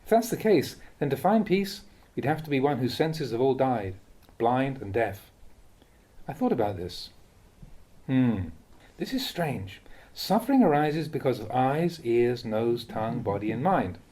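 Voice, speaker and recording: Mellow male monk reading a dharma talk. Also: mid-range voice, big pitch swings. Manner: measured, contemplative, with rhetorical questions. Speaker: Buddhist monk reading recorded teachings. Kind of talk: read-aloud dharma talk.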